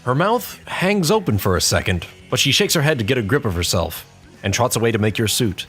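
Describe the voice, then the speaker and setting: A man's voice: mid-range voice, very animated, brisk, fairly bright tone. A man reading scripted story narration as the narrator of an audio tale. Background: background music.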